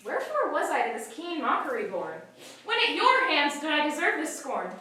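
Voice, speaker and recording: A woman's voice: high voice, huge pitch swings, moderate pace, sharp tone, a little hiss or hum, full character voice. A woman playing a spurned, desperate young woman, pleading in emphatic stage verse. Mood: wounded. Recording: echoey stage, distant mic.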